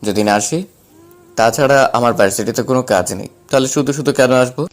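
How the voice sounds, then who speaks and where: A man playing a protective, defiant young husband, the calm hero of an audio story, with a firm manner. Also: medium pitch, fairly quick, lightly acted. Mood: guarded, defiant.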